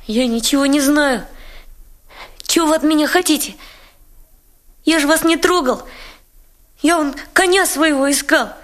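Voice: extremely high pitch, bright, forceful tone, full character voice. A performer playing an orphaned Russian peasant boy, plaintive and stubborn, whining evasively in a rustic way. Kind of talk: dramatic acting.

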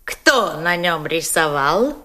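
An actress playing a falsely sweet German woman interrogator, coaxing and wheedling with drawn-out theatrical phrasing. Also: low voice, big pitch swings, exaggerated voice. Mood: pressing, impatient. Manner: syrupy, drawn-out, theatrical phrasing with repeated soft words. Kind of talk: dramatic acting.

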